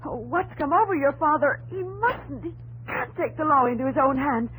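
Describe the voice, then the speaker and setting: A principled young American frontier schoolteacher in a 1950s radio drama. Her high, expressive voice is earnest and proper with a worried edge, on a slightly noisy old broadcast. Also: normal speed. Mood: distraught.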